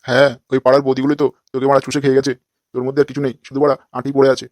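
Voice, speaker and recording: A man's voice: mid-range voice, completely flat delivery, very fast, slight background noise, close to an everyday voice. A man voicing a crude, lecherous fake holy man in a comic cartoon, with a brash, scolding manner.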